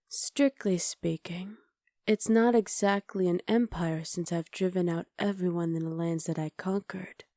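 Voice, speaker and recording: A woman performs a powerful, haughty witch in a low, dark and mellow voice. She speaks softly and formally, with measured menace and an imperious air. The sound is dry and intimate, as in an ASMR roleplay. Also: dry recording, close to an everyday voice.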